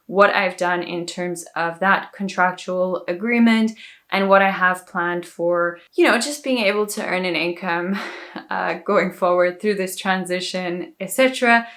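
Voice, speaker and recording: A woman's voice: fairly low pitch, measured pace, fairly bright tone. A woman from South Africa with an accent shaped by years in Dubai, chatting to camera in a vlog and explaining things step by step. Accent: South African with a Dubai-influenced lilt. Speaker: vlogger. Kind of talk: casual talking-to-camera vlog.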